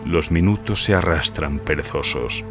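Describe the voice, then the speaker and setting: A man's voice: very deep voice, moderate pace. A man narrating a horror audiobook in a dramatic, scripted storytelling style. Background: music.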